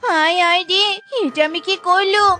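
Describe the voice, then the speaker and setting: A woman's voice: very high-pitched, steady tone, moderate pace, loud music behind the voice. A woman voicing a naive, melodramatic animal wife in a comic cartoon, with a whiny, childlike manner. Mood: dramatic distress. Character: silly, naive animal wife, excitable and dramatic. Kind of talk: exaggerated comic cartoon acting.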